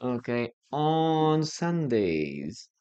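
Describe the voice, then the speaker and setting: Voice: mid-range voice, big pitch swings, extremely slow, warm tone, clear, resonant tone. A native Spanish-speaking English teacher from Peru with a Peruvian accent, leading a live online class and patiently repeating key phrases.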